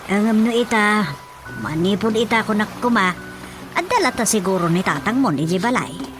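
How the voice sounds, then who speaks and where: A woman's voice: mid-range voice, very animated, normal speed, natural voice. An actress playing a fretful, plain-spoken older mother who complains matter-of-factly in an Ilocano radio drama comedy. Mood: inquiring. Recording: music behind the voice.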